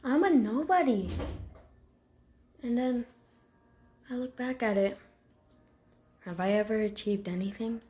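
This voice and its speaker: Girl doing a nervous lil pampered kitty cartoon voice, kinda high, really slow n stammery, gets dramatic easily. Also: warm tone, natural voice. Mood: downcast. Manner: hesitant, stammering, with dramatic outbursts. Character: timid, awkward, excitable pampered house cat, easily panicked. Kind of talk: character voice lines for an animated animal story.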